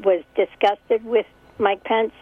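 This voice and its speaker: A woman's voice: medium pitch, fairly even intonation, takes it slowly, husky, no room sound. Older woman caller in her seventies, blunt and opinionated, heard over a muffled phone line, dry sound. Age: 70s. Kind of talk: call-in talk radio. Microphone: telephone.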